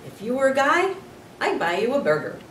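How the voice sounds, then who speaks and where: A woman voicing an exuberant, lovestruck man in a story, loud, excitable and gushing. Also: sits high, a little fast, pitched above the performer's own voice. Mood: gushing, elated. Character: exuberant lovestruck man, a school night janitor. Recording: roomy, distant mic, noisy.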